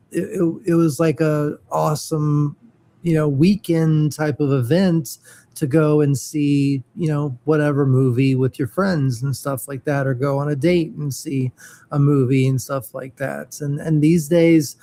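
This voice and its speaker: A man's voice: on the high side, mellow. A man hosting a casual morning podcast chat, talking hesitantly with many restarts and fillers. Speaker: morning show host.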